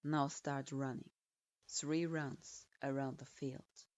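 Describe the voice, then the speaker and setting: A woman's voice: extremely low pitch, level tone, slow pace, a little mellow, no room sound, lo-fi sound, pitched below the performer's own voice. A woman voicing a stern adult male ninja instructor who speaks in curt, cold commands.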